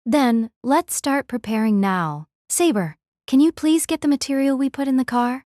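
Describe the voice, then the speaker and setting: A woman's voice: high voice, expressive, normal speed, mellow, dry recording. Woman as a composed noble lady, dry recording. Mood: gently instructing. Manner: polite, composed. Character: gentle noble lady homunculus, composed and reassuring. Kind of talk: audio drama acting.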